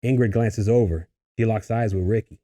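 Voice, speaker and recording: A man's voice: lowish voice, steady tone, normal speed, dark tone. A man reading stage directions as scripted narration for a table read.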